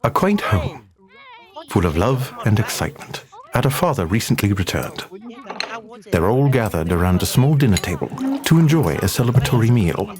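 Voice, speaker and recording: A man's voice: on the low side, very animated, moderate pace, crowd noise. A man playing a theatrical scene-setting narrator in an audio drama, with a storybook delivery. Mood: cosy.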